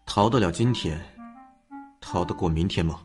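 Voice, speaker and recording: A man's voice: low voice, faint music behind, lightly acted. A man voicing a coldly menacing young official. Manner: slow, cold, quietly threatening. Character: cool menacing young male official, calm and dangerous. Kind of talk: multi-cast web audio drama.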